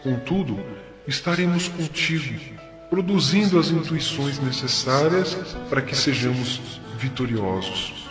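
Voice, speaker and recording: Man acting a slow, echoing, solemn spirit mentor. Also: mid-range voice, lively intonation, lightly acted. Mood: solemn, reassuring. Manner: solemn, measured, preaching with deliberate pauses. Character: benevolent male spirit mentor, solemn, gentle, fatherly counsellor. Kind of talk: audio drama, exhortation. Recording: echoey long hall reverb, music behind. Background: music.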